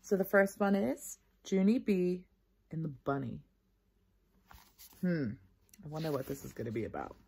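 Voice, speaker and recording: A woman with a husky, slightly low voice reads a children's book aloud. She talks casually and encouragingly to her young listeners, like a teacher, and tells the story with expression. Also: normal speed, long pauses, darkish tone.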